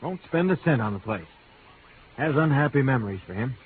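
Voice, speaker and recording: An actor playing a brisk, folksy real estate agent in a 1950s radio drama, talking in clipped phrases. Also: male voice, mid-range voice, lively intonation, slight background noise, natural voice. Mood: matter-of-fact. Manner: clipped, folksy, dropping subjects. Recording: vintage radio, narrow band, some phone lines.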